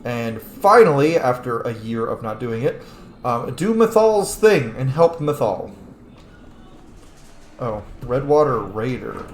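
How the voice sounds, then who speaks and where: A man's voice: mid-range voice, very animated, slow pace, echoey room, a little hiss or hum. A man giving casual, chatty, rambling commentary as a video game streamer. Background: game music.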